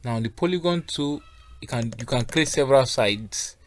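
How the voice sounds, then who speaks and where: A man teaches graphic design software in a step-by-step tutorial. His voice sits at a medium pitch. He speaks instructionally, guiding learners through each action and checking that they are keeping up. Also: normal speed.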